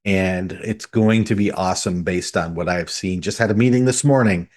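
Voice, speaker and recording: A man with a fairly low voice co-hosts a podcast. He relays news in a conversational style, explaining each item as he goes. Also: normal speed. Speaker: podcast co-host.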